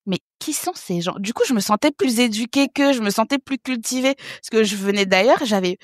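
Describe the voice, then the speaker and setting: Woman in her thirties, higher voice, very dry close sound, lively storytelling guest who laughs often. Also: fairly quick, no room sound. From French Guiana. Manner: lively, laughing, acts out other people's remarks. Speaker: podcast guest. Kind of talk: casual interview storytelling.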